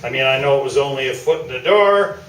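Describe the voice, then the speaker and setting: An actor playing a smug, self-promoting veteran sports columnist, pompous and falsely modest, in a staged radio play. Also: male voice, mid-range voice, fast, fairly bright tone, close to an everyday voice. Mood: smug, mock-sorrowful. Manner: pompous, mock-sincere. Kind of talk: staged radio play interview before an audience. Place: small theatre, live staged performance. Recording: roomy distant stage recording with noise.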